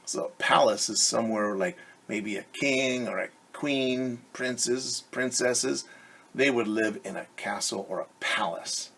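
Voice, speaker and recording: A man teacher reading a picture book aloud to children, animated and stopping to explain words and ask questions. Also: mid-range voice, measured pace, vocal fry, breathy edge. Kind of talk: children's story read-aloud.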